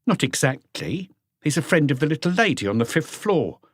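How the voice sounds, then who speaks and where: A man's voice: on the high side, big pitch swings, moderate pace, no echo, studio quality, voice pushed up. A man voicing a blunt, guarded female concierge in an audiobook, giving curt, offhand answers.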